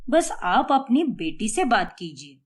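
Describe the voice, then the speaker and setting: A woman voicing a middle-aged man, the father's cheerful friend, in an animated storybook, talking in a hearty, insistent way. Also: on the high side, unhurried, slightly rough, exaggerated voice.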